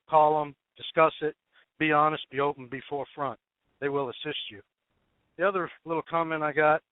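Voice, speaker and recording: A man's voice: fairly high pitch, dry recording. A man calling in as a truck driver, giving blunt, emphatic advice in clipped phrases. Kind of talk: unscripted advice on a conference call. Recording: dry, narrow telephone line.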